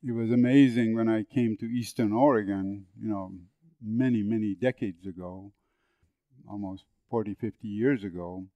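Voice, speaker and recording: A man's voice: on the low side, normal speed, no room sound, lo-fi sound. A man in his seventies from northern Iran with a Persian accent, telling stories as a guest on an interview podcast. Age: 70s. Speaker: guest, agricultural engineer.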